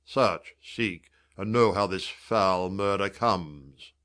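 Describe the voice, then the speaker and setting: Stern, low-voiced prince played by a man. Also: slow, fairly bright tone, smooth. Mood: stern command. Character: stern, regal male prince, displeased ruler. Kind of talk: theatrical Shakespearean audiobook acting.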